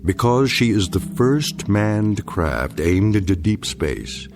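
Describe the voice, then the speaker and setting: A deep, solemn radio drama narrator. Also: male voice, big pitch swings, slow, exaggerated voice. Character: grave male story narrator. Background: music.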